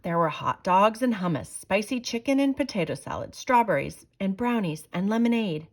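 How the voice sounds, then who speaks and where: A woman reading a children's picture book aloud in an expressive storytelling manner with deliberate phrasing. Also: medium pitch. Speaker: storybook reader. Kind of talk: children's read-aloud narration.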